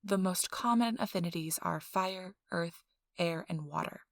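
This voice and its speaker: A woman playing a friendly, chatty intake interviewer in a soft ASMR role-play, explaining things politely and informally. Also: fairly low pitch, unhurried, creaky, no room sound, clean studio sound. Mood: calm, businesslike. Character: friendly, chatty female intake interviewer at a vampire blood donation center.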